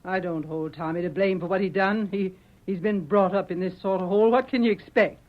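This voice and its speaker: A woman's voice: mid-range voice, fairly even intonation, fairly quick, lightly acted. A woman playing a worried, loyal frontier wife and mother in an old radio western, with folksy rural speech. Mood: worried, gentle. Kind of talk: 1940s radio western acting. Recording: muffled old radio recording.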